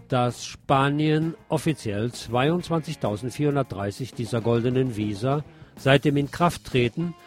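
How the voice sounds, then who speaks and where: A man presenting scripted radio news, reading reports and adding wry, sardonic asides. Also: mid-range voice.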